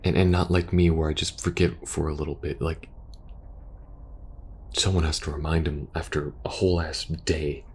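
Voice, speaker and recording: A man playing a war-weary, protective soldier in an intimate ASMR audio roleplay, speaking earnestly and hesitantly, sometimes hushed. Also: very deep voice, flat delivery, moderate pace, husky, heavily acted. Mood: frustrated, weary. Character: war-weary young male soldier pleading with best friend, protective, earnest.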